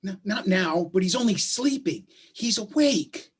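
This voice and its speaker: An actor playing an anxious father in a staged play reading, with a husky voice and halting, urgent reassurance. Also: medium pitch, animated. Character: anxious father keeping watch at son's bedside. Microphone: video call microphone.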